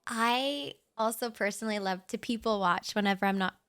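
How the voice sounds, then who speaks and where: A young woman voicing a shy writer who is a regular at a café, talking softly and giggling nervously in an audio roleplay. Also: medium pitch, moderate pace, smooth, no room sound, clean studio sound, lightly acted. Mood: chatty, gushing. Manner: soft, giggly, a little nervous and rambling.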